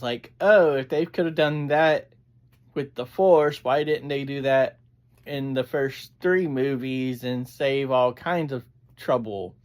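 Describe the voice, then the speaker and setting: A reviewer, chatting casually in a halting, digressive way as host of a review. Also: medium pitch, clear, resonant tone. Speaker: audio drama reviewer, host. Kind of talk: casual rambling review chat.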